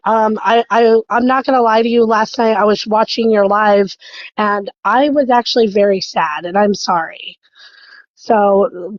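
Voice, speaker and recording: A woman's voice: fairly high pitch, no echo, dull audio. A woman in her thirties calling in to a livestream, earnest and hesitant, with many ums. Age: 30s. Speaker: caller. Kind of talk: casual call-in chat.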